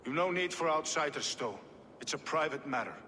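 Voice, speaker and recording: An actor playing a wary, sardonic medieval town reeve in a video game, with a gruff, curt, cutting manner. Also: male voice, fairly high pitch, animated, fairly quick, sharp tone, a little hiss or hum. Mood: bitter, wary. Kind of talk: video game cutscene acting. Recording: long hall reverb, faint ambience.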